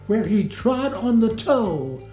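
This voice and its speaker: A man giving a theatrical recitation of a comic rhyming ballad in a measured, sing-song rhythm. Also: sits high, sing-song, warm tone. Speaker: poetry reader. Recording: roomy echo, music underneath. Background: music.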